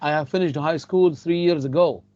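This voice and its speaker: A man's voice: a little high, normal speed, dark tone, no echo, highs cut off. A man with an Egyptian accent teaching an English class, repeating phrases and mixing in Arabic. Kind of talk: classroom lecture.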